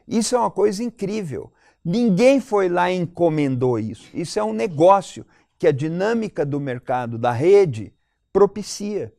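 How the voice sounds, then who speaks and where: A man's voice: high voice, lively intonation, normal speed, vocal fry, mellow, dry recording. Brazilian man, dry close sound, analytical. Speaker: debate panel guest. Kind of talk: analytical panel debate commentary.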